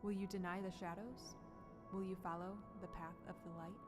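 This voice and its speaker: A woman playing a grave, luminous female spirit in a fantasy audio drama, speaking in formal, measured proclamations. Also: lowish voice, flat delivery, music in the background, natural voice. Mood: solemn, challenging. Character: solemn luminous female spirit offering hope.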